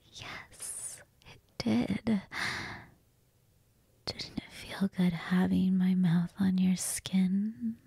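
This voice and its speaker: A woman voicing a cold, hungry female vampire, speaking in a slow, breathy whisper right at the microphone. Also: medium pitch, a little mellow, dry recording, full character voice. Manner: slow, intimate, purring murmurs. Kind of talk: whispered ASMR roleplay. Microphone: close mic.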